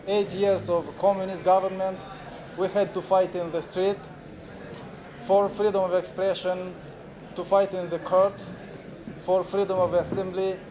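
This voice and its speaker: A man in his forties from Moldova, a native Romanian speaker, presenting at a conference in halting English with many fillers and restarts. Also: sits high. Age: 40s. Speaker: moderator. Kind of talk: unconference talk. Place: conference room with audience. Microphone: handheld camera recording.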